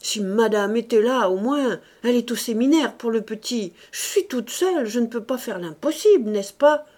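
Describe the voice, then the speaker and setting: A woman voicing a flustered, grumbling female servant who scolds and complains loudly, reading from a classic novel. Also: a little high, lively intonation, moderate pace. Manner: muttering, scolding, loud complaints.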